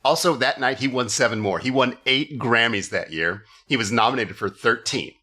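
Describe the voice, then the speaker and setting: A man's voice: medium pitch, normal speed, pushed and bright. A man hosting a comedy podcast, telling stories casually and irreverently, with swearing and mock quotes. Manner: irreverent, profane, mock-quoting. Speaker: podcast host. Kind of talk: casual comedic storytelling chat.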